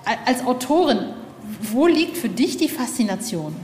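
A woman's voice: fairly high pitch, sing-song, moderate pace, a little mellow. A woman hosting a casual interview, engaged and working her way toward her questions by rephrasing them. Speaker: podcast host, interviewer. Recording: echoey reverberant hall, faint noise.

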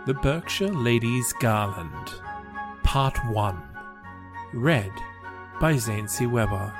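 A man gives a measured poetry reading at a slow pace. His pitch moves expressively through the lines, and music plays clearly behind him. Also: lively intonation. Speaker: podcast host and poetry reader. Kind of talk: poetry reading, scripted narration.

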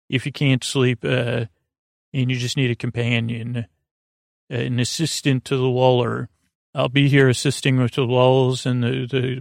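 Male podcast host, gravelly and dry-sounding, wandering haltingly through sleep-aid monologue. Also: mid-range voice, dry recording. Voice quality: creaky, gravelly. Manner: meandering, halting, often trailing off mid-thought. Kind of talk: rambling bedtime monologue to help listeners sleep.

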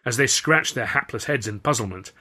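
A man reading his own comic novel aloud as a first-person storyteller, in animated audiobook narration. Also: medium pitch, brisk, breathy edge.

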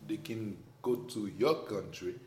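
A man with a French accent talking in a casual conversation, emphatic and animated, his voice rising when he makes a point. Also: on the low side, unhurried. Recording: echoey room, faint background noise.

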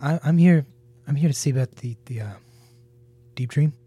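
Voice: medium pitch, moderate pace, warm tone, breathy edge, no room sound. An actor playing an anxious, sleepless customer who stammers and hesitates. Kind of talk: audio drama acting.

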